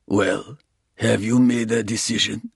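A man voicing a smug, menacing Russian gangster who talks slowly and mocks his rival. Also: on the low side, flat delivery, vocal fry, husky, no room sound, clean studio sound, pitched above the performer's own voice, full character voice. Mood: gloating. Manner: slow, gloating, mocking. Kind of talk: audiobook character reading.

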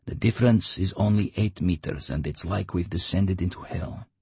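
A man's voice: deep voice, moderate pace, vocal fry, a little mellow, slightly rough, no echo, heavily acted. A man voicing a wary young man who speaks in a subdued, plain way.

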